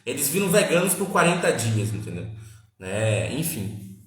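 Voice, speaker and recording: A man's voice: medium pitch, very animated, moderate pace. Chatty man in an echoey room. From Salvador, Bahia, Brazil. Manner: chatty, repeats tag questions, emphatic. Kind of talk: casual teaching chat with viewers. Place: at home.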